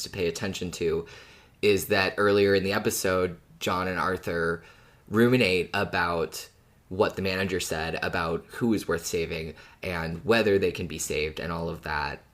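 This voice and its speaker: A woman with a very low voice co-hosts a podcast about fiction. She chats casually and thoughtfully, agreeing and building on ideas as she goes. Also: steady tone, normal speed. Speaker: podcast co-host.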